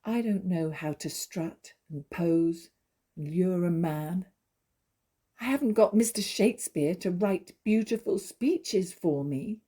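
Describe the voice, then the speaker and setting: An actress playing a naive, jealous young wife, pleading and accusing in stagey dramatic dialogue. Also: female voice, on the low side, takes it slowly, dark tone, breathy edge. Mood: tearful, bitter. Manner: imploring, emotional, accusatory.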